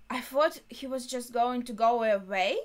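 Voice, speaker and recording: A young woman giving casual reaction commentary, thinking aloud in a halting way. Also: a little high, huge pitch swings. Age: young adult. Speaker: reaction video host. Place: small bedroom, indoors.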